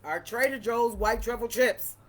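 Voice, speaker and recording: A woman with a deep voice chatting casually and playfully in a wine tasting vlog, repeating phrases for emphasis. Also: normal speed. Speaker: vlogger reviewing wine.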